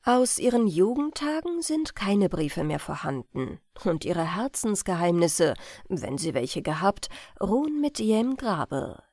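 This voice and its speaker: A woman narrates an audiobook story with careful phrasing and a very lively, swinging melody. Her voice sits at a middle pitch. The sound is dry and close, with no room around it. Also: unhurried, few pauses, dry recording. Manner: expressive, carefully phrased read-aloud. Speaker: audiobook reader. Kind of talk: scripted storytelling narration of old family tale.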